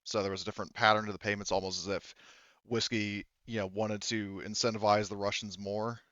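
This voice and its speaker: A man's voice: on the low side, flat delivery, brisk, bright, forceful tone, no room sound, muffled recording. A man running a tabletop game as game master, narrating off the cuff and thinking aloud in a conversational way. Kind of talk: improvised tabletop game narration and casual chat.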